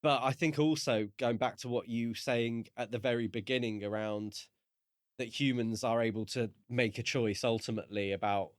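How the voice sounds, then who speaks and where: A man co-hosting a podcast, talking through a book casually in thoughtful, halting phrases. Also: medium pitch, steady tone, no echo, studio quality. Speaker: podcast co-host.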